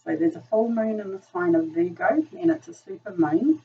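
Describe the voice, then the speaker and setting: A woman with a New Zealand accent, hoarse from a virus, chatting casually through a tarot reading with many ums. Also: medium pitch, sing-song, normal speed. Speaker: tarot reader. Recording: distant mic, dull sound, faint noise.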